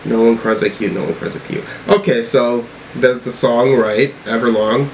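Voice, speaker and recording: A man giving casual reaction commentary as a music review host, rambling and halting as he thinks aloud. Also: medium pitch, fairly even intonation, slight background noise, low-quality recording.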